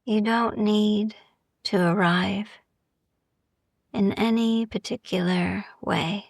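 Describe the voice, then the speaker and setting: A woman guiding a sleep meditation in a soft, gentle, soothing ASMR style, hushed and often slipping into whispers, with long pauses. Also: medium pitch, steady tone, vocal fry, no room sound, studio quality. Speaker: sleep meditation podcast host.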